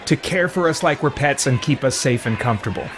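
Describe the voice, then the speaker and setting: A man's voice: mid-range voice, expressive, brisk, over music. A man giving casual, philosophical commentary as he hosts a video game playthrough, chatty and reflective. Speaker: let's play host. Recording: noisy, with game crowd sounds behind. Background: video game ambience and crowd noise.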